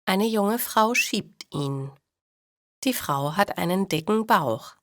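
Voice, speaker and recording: A woman narrating scripted stories for language learners in a deliberate, clearly articulated way. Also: mid-range voice, lively intonation, fairly bright tone, dry recording, studio quality.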